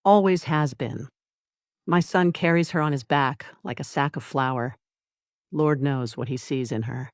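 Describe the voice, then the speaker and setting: Narrator as audiobook mother-in-law, older woman, haughty and scornful, mid pitch. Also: measured pace, no echo, studio quality, lightly acted. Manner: loud, cutting, contemptuous.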